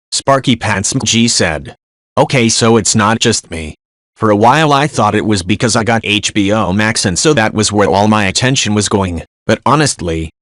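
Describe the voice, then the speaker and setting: A man reading forum posts aloud in an even read-aloud delivery, saying symbols out literally. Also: on the low side, no room sound, clean studio sound.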